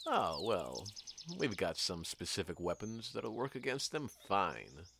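A man's voice: deep voice, very animated, a little slow, creaky, fairly bright tone, slight background noise, exaggerated voice. A man playing a pompous, self-righteous, scheming villain in a comic audio drama, declaiming bossily. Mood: smug scheming.

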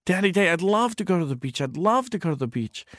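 A man voicing an eager, excited little boy who keeps calling for his daddy. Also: high-pitched, fast talker, no echo, clean studio sound, pitched above the performer's own voice. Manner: pleading, repeats "Daddy, Daddy".

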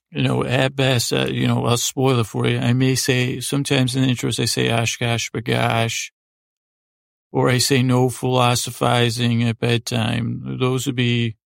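Guy with super croaky voice doing a flat, rambly sleep podcast monologue, soothing, keeps trailing off and restarting. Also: mid-range voice, dry recording, clean studio sound. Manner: halting, digressive, trails off and restarts; soothing, lulling. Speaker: host of a bedtime story sleep podcast. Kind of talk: rambling, meandering bedtime monologue.

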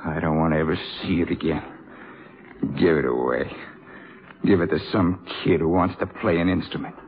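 A man playing a bitter, hard-drinking, disillusioned jazz trumpeter in a 1950s radio drama, talking in slangy, halting phrases. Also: a bass voice, fairly even intonation. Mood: broken, despairing. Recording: tinny 1950s radio recording.

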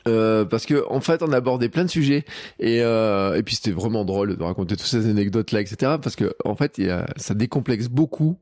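A man hosting a casual podcast conversation, chatty and animated in his storytelling. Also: mid-range voice, no room sound, studio quality. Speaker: podcast host.

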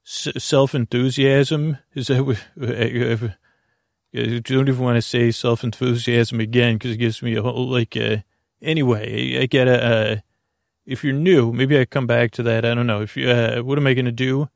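Male sleep podcast host: gravelly creak, close dry sound, soothing and wandering monologue. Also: medium pitch, steady tone, dry recording. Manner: meandering, halting, soothing, full of tangents. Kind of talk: slow rambling bedtime monologue.

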